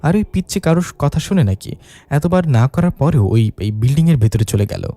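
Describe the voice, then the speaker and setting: A man's voice: a little high, animated, brisk, warm tone, pitched above the performer's own voice, lightly acted. A man voicing a cowardly, dithering young man who mutters hesitantly and puts on bravado in a story. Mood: defensive bravado.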